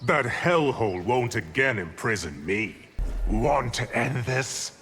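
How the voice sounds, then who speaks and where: An actor playing an arrogant, menacing evil sorcerer in a video game, with haughty, deliberate, theatrical speech. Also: male voice, mid-range voice, sing-song, fairly bright tone, husky, full character voice. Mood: defiant, menacing. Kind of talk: dramatic video game villain acting. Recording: long hall reverb, music underneath.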